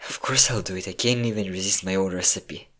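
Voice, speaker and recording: A performer with a low voice playing an insecure, depressive young adult best friend in an intimate, halting audio roleplay monologue. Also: a bit bright. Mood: soft, affectionate. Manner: emotional, confiding, halting.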